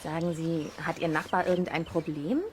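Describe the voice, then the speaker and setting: An actress playing a friendly, knowledgeable wolf biologist in a children's audio drama, speaking politely and explaining things with gentle scepticism. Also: female voice, low-pitched, flat delivery, moderate pace, a little hiss or hum, lightly acted. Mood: politely sceptical.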